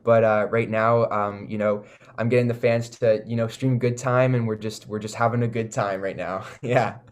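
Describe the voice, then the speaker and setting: A man with a Canadian accent giving casual, enthusiastic interview answers, often pausing with "like" and "you know". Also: lowish voice, little pitch movement. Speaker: recording artist, interview guest.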